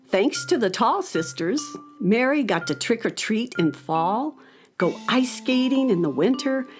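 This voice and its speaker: An actress playing a friendly narrator of a children's radio story, telling it expressively. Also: female voice, mid-range voice.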